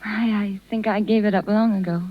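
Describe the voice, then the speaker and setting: A woman's voice: medium pitch, steady tone, brisk, close to an everyday voice. An American actress playing an earnest, tender young woman circus rider in a 1930s radio drama. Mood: moved, hopeful. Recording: muffled 1930s radio broadcast recording.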